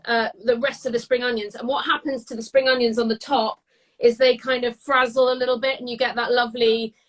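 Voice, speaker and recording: A British woman giving a casual live cooking demonstration, chatty and descriptive, often pausing mid-phrase as she explains. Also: a little high, flat delivery, low-quality recording. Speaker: guest cook demonstrating a recipe.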